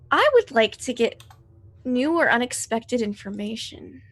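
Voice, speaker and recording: A woman's voice: fairly high pitch, sing-song, slow, fairly bright tone. A woman chatting casually as a player in a tabletop roleplaying game.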